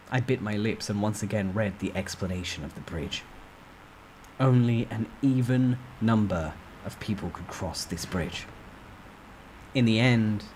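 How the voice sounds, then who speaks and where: A man with a low voice narrates a fantasy tale in the first person. He reads dramatically and with suspense, like a storyteller at work. There is some background noise on the recording. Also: rough recording.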